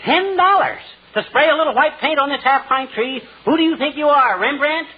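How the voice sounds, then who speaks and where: An actor playing a blustering, stubborn, tightfisted American husband with folksy exclamations in a 1940s radio comedy. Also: male voice, very high-pitched, lively intonation, fairly quick. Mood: indignant. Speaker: lead comic actor. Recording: noisy, narrow-band 1940s radio recording.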